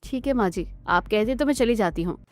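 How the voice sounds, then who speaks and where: A woman playing a young, questioning Indian daughter-in-law in a family cartoon, talking in an animated, pert way. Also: a little high, fairly quick, lightly acted. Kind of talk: Hindi family cartoon dialogue.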